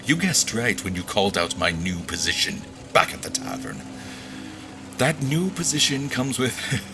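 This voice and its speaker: A man playing a formal general who tells his memories in a measured, theatrical way, as in an audio drama. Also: on the low side. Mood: proud, deliberate. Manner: measured, theatrical, literary phrasing. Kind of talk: dramatic audio fiction narration.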